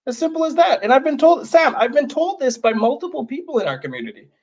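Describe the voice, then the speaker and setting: A man from Canada with a notably high voice for a man, speaking as a guest on a livestream. He talks bluntly and with emphasis, leaning hard on his key points. The sound is dull, as from a lo-fi stream. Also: very animated, fast, a little mellow. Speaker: guest, youth advocate. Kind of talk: livestream conversation.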